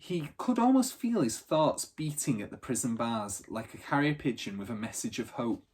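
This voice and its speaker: A man narrating a children's storybook aloud in an expressive, teacherly reading style. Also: mid-range voice, moderate pace, slightly rough.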